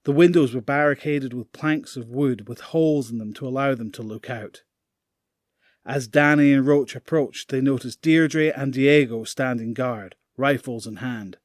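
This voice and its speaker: A man narrating a zombie audio drama in a steady, grave tone. His voice sits at an ordinary pitch, recorded dry and close with no room sound. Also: dry recording. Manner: measured, grave storytelling. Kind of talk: scripted narration.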